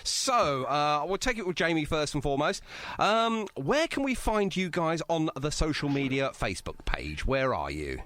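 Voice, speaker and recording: Lively, animated male radio host. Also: a little high, normal speed. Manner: jokey, effusive, chatty. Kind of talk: casual, bantering radio interview.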